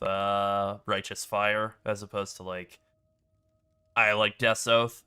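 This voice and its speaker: A man's voice: lowish voice, smooth. A man streaming a video game, commenting casually as he plays, with a rambling delivery full of "like.". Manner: relaxed, rambling, many "like" fillers.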